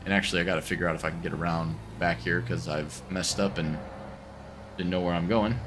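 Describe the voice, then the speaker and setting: A man's voice: low voice, fairly even intonation, brisk, background noise. A man with a congested voice from a cold, rambling easily through casual commentary while streaming a driving game. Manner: rambling, self-correcting, easygoing.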